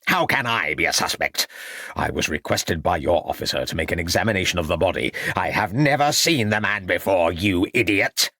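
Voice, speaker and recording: A man's voice: low-pitched, sing-song, normal speed, no room sound, studio quality, exaggerated voice. A man voicing an angry, blustering doctor in an audiobook, growling and indignant. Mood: outraged. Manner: growling, snarling, shouted outbursts. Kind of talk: audiobook character acting.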